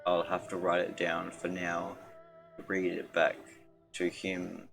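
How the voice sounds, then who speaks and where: A man streaming a game, reading its text aloud in a low-key, halting way. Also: completely flat delivery, creaky, music in the background. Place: livestream with game music behind.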